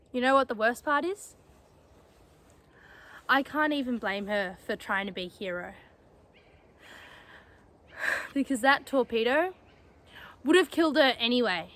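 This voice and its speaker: A woman performs a young female space cadet with a high voice. She is defiant and grieving, speaking with bitter emotion in a dramatic sci-fi monologue, leaning on words and leaving pauses between them. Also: animated, a bit bright. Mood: sorrowful.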